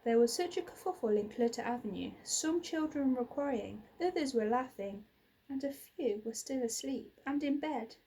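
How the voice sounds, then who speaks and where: Husky, high-voiced woman reading a storybook. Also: animated, mellow, poor audio. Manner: dramatic, deliberate emphasis. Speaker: teacher reading a children's book aloud. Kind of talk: expressive storybook narration. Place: small plain indoor room.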